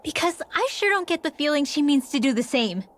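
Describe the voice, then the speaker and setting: A woman's voice: high-pitched, very animated, a little fast. A woman playing a feisty, distrustful young female fighter in a video game, blunt and informal.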